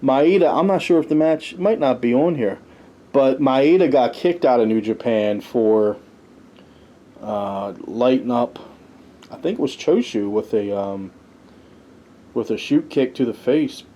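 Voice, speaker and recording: A man with a soft, mellow voice and a middling pitch hosts a casual podcast. He talks conversationally, moving through a list and tossing in personal asides as he goes. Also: animated, unhurried, dull audio. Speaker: podcast host reviewing wrestling history. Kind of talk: casual commentary, reading a ranked list.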